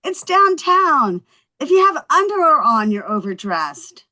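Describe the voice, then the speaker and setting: A woman at a script table read playing a quick-witted young housewife, chatty, coaxing and wry. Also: high-pitched, big pitch swings, pushed and bright, full character voice. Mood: wry, teasing.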